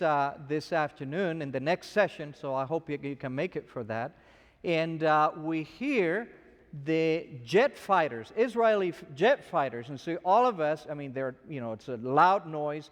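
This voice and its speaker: A man's voice: a little high, big-room sound. A man from Argentina, a scholar guest, telling chatty stories with frequent restarts. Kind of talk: anecdotal lecture.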